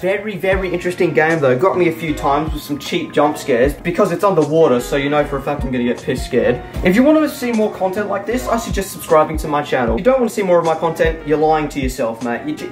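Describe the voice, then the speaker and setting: A young man with an Australian accent commentating on a horror game, exasperated and sarcastic, often swearing and repeating himself. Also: fairly high pitch. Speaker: gaming YouTuber. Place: small room at home. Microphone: desk microphone on boom arm, headphones on. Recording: loud game audio over the voice. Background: loud game music and machinery sounds.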